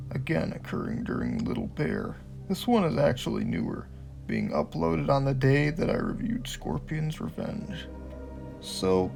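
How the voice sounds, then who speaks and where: A man's voice: mid-range voice, creaky. A man hosting a video review, reading scripted commentary with some casual, profane asides.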